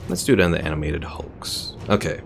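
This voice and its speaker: A man's voice: very deep voice, fairly even intonation, fairly quick, gravelly. A man giving casual livestream commentary on a game and talking with his chat as host. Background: game music.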